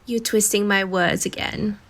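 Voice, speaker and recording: A woman's voice: medium pitch, steady tone, measured pace, fairly bright tone, close to an everyday voice. A woman playing a playful, teasing young woman in a romantic summer audio drama.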